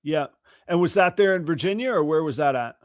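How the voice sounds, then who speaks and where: A man's voice: a little high, animated, a little fast, no room sound, studio quality. A man with an American accent, hosting a podcast in casual, conversational interview style. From United States (Florida). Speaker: podcast host.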